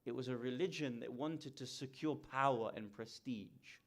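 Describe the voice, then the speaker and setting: A man delivering a lecture-style sermon to a religious gathering, measured and emphatic, often putting rhetorical questions to his listeners. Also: medium pitch.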